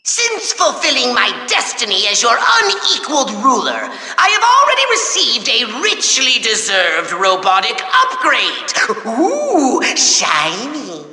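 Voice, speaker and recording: A man playing a pompous, tantrum-prone little evil overlord in a video game, with a shrill, gravelly, bombastic delivery. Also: wildly swooping pitch, sharp tone, heavily acted, low-quality recording. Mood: grandiose. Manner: bombastic, theatrical, drawn-out emphatic words. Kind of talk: video game villain acting.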